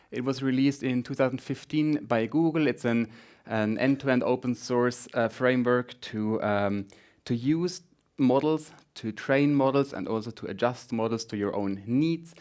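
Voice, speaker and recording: Male tech speaker, Swiss German accent, mid-range voice, informal and a little halting. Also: mid-range voice. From Switzerland. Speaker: conference speaker, front-end developer. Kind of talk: conference tech talk.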